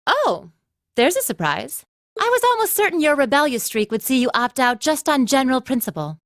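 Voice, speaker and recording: A woman's voice: high-pitched, very animated, normal speed, no echo. An actress playing a breezy, teasing young female academy instructor in an anime game dub, playful and casual in manner.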